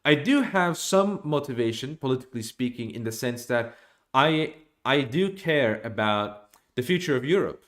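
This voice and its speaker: A young man from Norway streaming from a small room at home, giving an opinionated monologue to his desk webcam with animated gestures and frequent restarts. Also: medium pitch, moderate pace.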